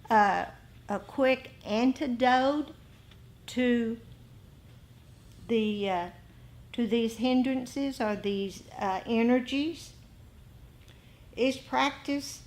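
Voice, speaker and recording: A woman giving an informal dharma lesson to a meditation group, pausing between short phrases. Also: fairly high pitch, a little mellow. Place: indoor group meeting.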